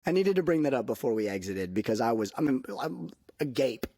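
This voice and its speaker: A man co-hosting a casual music podcast, telling stories emphatically with halting restarts, on a close microphone. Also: mid-range voice, animated, mellow, no echo. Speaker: podcast co-host.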